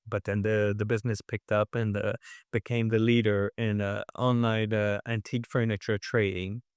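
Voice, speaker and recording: A man with French as his first language, who grew up in France, speaks with a smooth, resonant voice. He talks in a measured way, pausing on fillers as he tells his story. The sound is dry and close. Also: fairly low pitch, little pitch movement, no room sound. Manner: measured, many fillers and restarts. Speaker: guest, restaurant owner. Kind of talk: casual interview chat.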